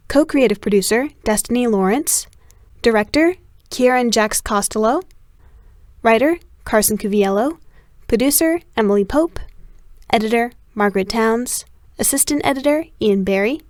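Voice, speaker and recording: A woman's voice: a little high, dry recording, studio quality. A woman reading scripted production credits as an announcer.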